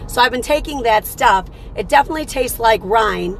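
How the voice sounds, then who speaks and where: A woman hosting a casual morning livestream, chatty and upbeat, her voice slightly raspy from a cold. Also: fairly high pitch, animated, a little fast, slight background noise. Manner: upbeat, chatty, greets viewers by name. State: fighting off a cold. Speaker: livestream host.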